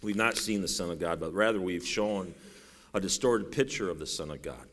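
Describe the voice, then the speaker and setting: A middle-aged man with a bass voice preaching a sermon in a folksy, self-deprecating way, rising to raised, urgent preaching. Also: normal speed, fairly bright tone. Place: church sanctuary. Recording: long reverb in a large hall.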